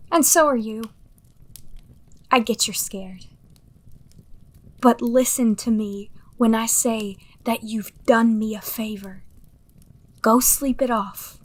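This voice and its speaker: A woman's voice: on the high side, takes it slowly, pauses often, dry recording. A woman acting a weary, streetwise underworld survivor in a fantasy audio drama, reassuring and wry, with a casual, coaxing manner. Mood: tired, reassuring.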